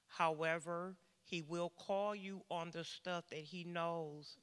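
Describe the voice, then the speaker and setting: A woman gives a heartfelt testimony in church, speaking slowly and reflectively. Her voice is bright and smooth. A long church-hall reverb trails her words. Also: on the low side. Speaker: congregation member giving testimony. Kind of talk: personal testimony.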